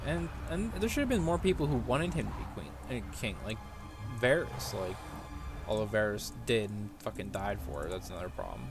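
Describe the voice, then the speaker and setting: A man's voice: lowish voice, lively intonation, fairly quick, over music. A man streaming a game, chatting in a casual, rambling, sweary way.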